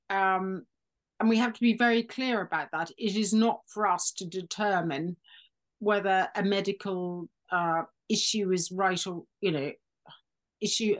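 A woman from Ireland with an English accent speaks at a middle pitch as a guest on a video-call interview. She explains her work in an earnest, careful way, often correcting herself mid-sentence. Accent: English, Irish accent lost. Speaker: intuitive energy reader, guest. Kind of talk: casual interview talk.

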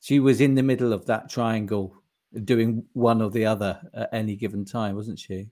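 A man's voice: on the low side, fairly even intonation, normal speed, mellow. A man hosting a casual interview, hesitant, with many fillers and restarts. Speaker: host, musician.

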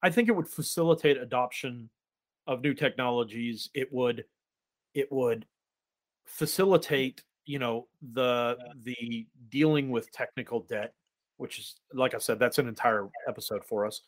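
Man, middle pitch, affable, jokey, podcast co-host, casual chat. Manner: affable, jokey, quick with affirmations.

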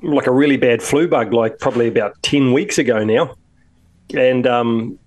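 A New Zealand man with a blocked-up head cold, co-hosting a podcast in a relaxed, slangy way. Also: mid-range voice, moderate pace, poor audio. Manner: laid-back, slangy, easy laughs. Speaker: podcast co-host. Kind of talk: casual chat.

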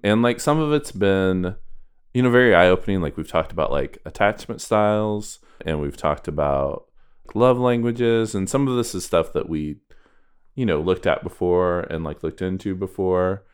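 A man's voice: deep voice, expressive, normal speed, clean tone. Man co-host with a clean, resonant voice, casual and rambling conversational delivery. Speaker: podcast co-host. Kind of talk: casual chat.

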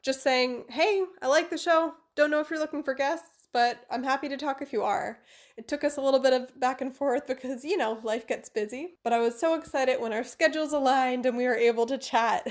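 Higher-voiced American woman hosting a podcast. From United States. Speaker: podcast host. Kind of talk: casual conversational interview.

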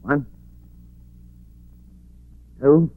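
An actor playing a cold, impatient escaped convict in a 1940s radio drama, talking in tough, clipped gangster style. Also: male voice, drawn-out words, no echo, narrow, tinny audio. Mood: threatening. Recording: noisy, humming old radio recording.

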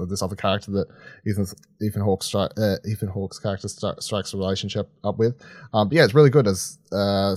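A man's voice: sits low, darkish tone, no room sound, studio quality. A man co-hosting a podcast, giving casual film reviews in a rambling, informal, sweary way. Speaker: podcast co-host reviewing films.

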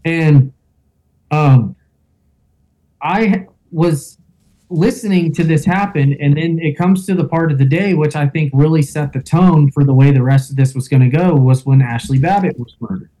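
Male interview guest, loud, mellow tone, slightly high, rambling first-person storyteller. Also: normal speed, low-quality recording. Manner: storytelling with fillers and reenacted quotes.

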